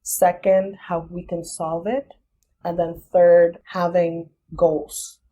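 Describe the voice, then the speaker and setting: Husky low-voiced woman explaining. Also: flat delivery, slow pace, warm tone. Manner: thoughtful, explains step by step. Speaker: business founder, podcast guest. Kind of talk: casual interview.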